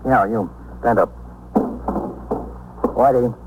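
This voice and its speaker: An American actor playing a hard, skeptical detective lieutenant questioning in a probing, curt way in a 1950s radio drama. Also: male voice, medium pitch, takes it slowly, lightly acted. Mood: stern, insistent. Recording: noisy old 1950s radio recording.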